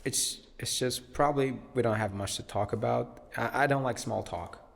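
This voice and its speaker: A man's voice: mid-range voice, normal speed, gravelly, fairly bright tone, big-room sound. A young man, a podcast guest who teaches English, talking in a blunt, matter-of-fact way in a casual interview.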